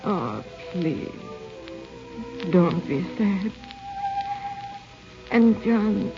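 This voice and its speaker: An actress playing a tender, wistful dying young bride, halting and faint, in old-time radio style. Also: female voice, medium pitch, very animated, exaggerated voice. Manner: slow, halting, faint, melodramatic. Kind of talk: 1940s radio acting. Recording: muffled old radio recording with music.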